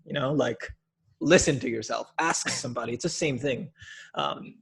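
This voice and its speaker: Young male podcast guest, husky, loose and candid, slangy delivery. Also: medium pitch, moderate pace. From Minneapolis, USA. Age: young adult. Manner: animated, blunt, uses slang like dude. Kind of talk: casual conversation.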